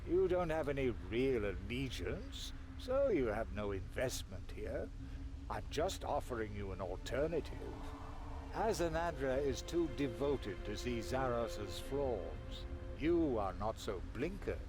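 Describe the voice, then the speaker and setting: An actor playing a sly, mocking, self-serving trickster from a shadow realm in a fantasy video game, with a teasing, theatrical drawl. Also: male voice, mid-range voice, background noise. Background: music.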